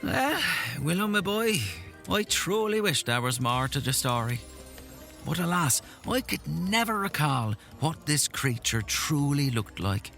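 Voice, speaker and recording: A folksy old storyteller, played by a man in an audio drama, with a lively, swinging delivery. He is boastful yet earnest and savours every turn of his whimsical tale in a theatrical way. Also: a little high, a little slow, gravelly, soft background music, full character voice. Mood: earnest, wistful.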